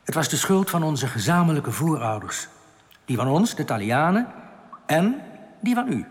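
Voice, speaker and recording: A man's voice: on the high side, sing-song, moderate pace. A solemn underground rebel, his voice ringing in long reverb and moving expressively up and down. He speaks formally and earnestly, like someone delivering grave warnings in a science fiction radio play. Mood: grave explaining. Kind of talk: radio drama acting.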